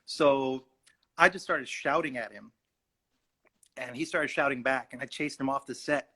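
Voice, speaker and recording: A young man, an actor and native Urdu speaker, telling stories in a lively way during an interview. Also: medium pitch, measured pace. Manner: animated, acts out voices in his anecdotes. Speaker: actor, guest. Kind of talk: casual storytelling in an interview.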